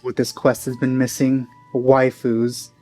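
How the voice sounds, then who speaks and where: A man's voice: mid-range voice, completely flat delivery, a little slow, mellow, faint music behind. A man giving casual, wry commentary as a let's play host. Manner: wry, teasing remarks.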